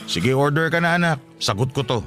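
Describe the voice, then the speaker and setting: A man's voice: mid-range voice, lively intonation, fairly quick, a bit bright, soft background music, lightly acted. An actor playing a playful, assertive middle-aged Filipino father who teases and dotes, in radio drama style. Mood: teasing, insistent.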